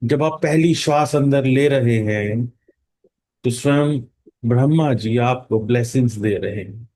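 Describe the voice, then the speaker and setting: A man leading a guided meditation in a calm, instructing manner, with long pauses and drawn-out words. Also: medium pitch. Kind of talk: guided meditation in Hindi mixed with English phrases.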